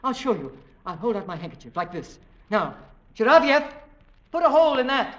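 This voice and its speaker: Earnest husband, dull vintage sound. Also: male voice, extremely high pitch, big pitch swings, a little fast, background noise. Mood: urgent, reassuring. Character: devoted, determined husband and hunter. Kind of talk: old-time radio horror drama acting. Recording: dull vintage radio recording.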